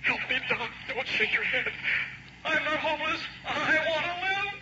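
A man playing a desperate, pleading, dying crash victim with a breathy delivery in a noisy old radio drama. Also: very high voice, lively intonation, normal speed, highs cut off. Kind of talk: 1960s radio drama acting.

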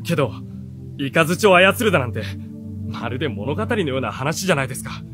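Earnest, animated bookish teenage youth. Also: male voice, fairly high pitch, fast, over music. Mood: puzzled. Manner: expressive, earnest storytelling. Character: earnest seventeen-year-old youth, bookish runaway storyteller. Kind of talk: radio drama narration and dialogue.

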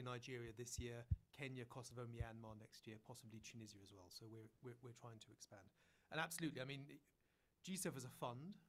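A man who is not a native English speaker, answering on a panel in a conference hall, articulate and rapid. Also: medium pitch, a bit bright. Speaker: head of a fund, panelist. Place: stage of a large conference hall.

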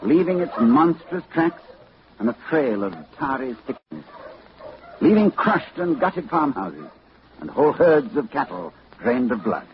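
A man's voice: mid-range voice, sing-song, measured pace, a little hiss or hum. A middle-aged man playing a grave, urgent scholar in a 1940s radio drama, with a muffled old broadcast sound. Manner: urgent, commanding, hushed at times.

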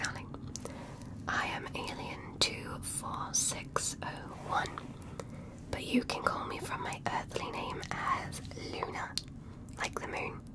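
A woman softly whispering an ASMR medical roleplay as a gentle alien examiner, speaking slowly and reassuringly up close. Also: poor audio.

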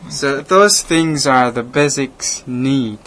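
A man from Laos, a native Lao speaker, hosting a radio talk and speaking haltingly with many restarts. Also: mid-range voice, a bit bright, slight background noise. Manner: halting, hesitant, frequent restarts, laughs often. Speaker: radio program host, monk. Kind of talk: casual conversation in English.

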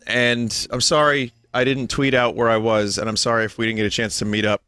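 A young man, a little hoarse and tired, chatting casually and rambling to his stream viewers from home. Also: mid-range voice, level tone, fairly quick, pushed and bright, no echo, soft background music. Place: home room.

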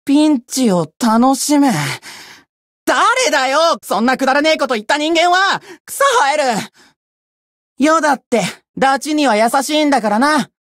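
A woman voicing a cocky, bratty young demon who boasts pompously in rough slang, in a Japanese game character style. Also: high-pitched, animated, moderate pace, no echo, clean studio sound. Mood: cocky, teasing.

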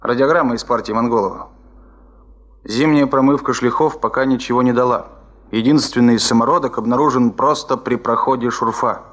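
An actor playing a businesslike male subordinate giving a brisk, formal report in a 1970s radio drama. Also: mid-range voice, a bit bright, close to an everyday voice.

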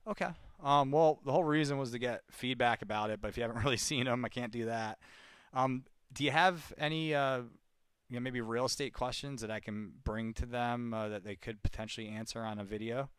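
A man making live calls on behalf of a real estate group, talking casually and haltingly. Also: mid-range voice, fairly even intonation, fairly bright tone, smooth, no room sound. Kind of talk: live cold call, casual phone chat.

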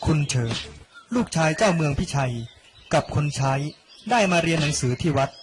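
A young man reading a scripted narration for an animated story. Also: on the high side, moderate pace, noisy background. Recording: loud music under the voice. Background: loud background music.

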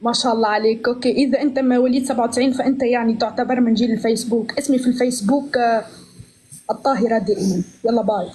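A woman's voice: on the high side, fairly even intonation, racing, warm tone, a little hiss or hum, poor audio. A woman in her twenties hosting a live stream from a phone, chatting and teasing guests in a playful, mock-pious way. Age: 20s. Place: small room indoors. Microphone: phone at arm's length.

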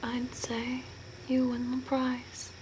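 A young woman playing an eerie, courteous zombie in a slow, deliberate, breathy ASMR whisper. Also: high voice, monotone, poor audio. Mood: softly intrigued. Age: young adult. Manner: slow, deliberate, softly spooky. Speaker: ASMR role-play performer. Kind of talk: whispered ASMR role play. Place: small room indoors by a curtained window. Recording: clear background noise, ambient hiss.

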